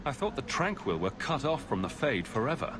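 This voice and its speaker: A man playing a concerned adventurer in a video game, speaking in a measured, probing way. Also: medium pitch, sing-song, over music, lightly acted. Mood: grave. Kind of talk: video game dialogue acting.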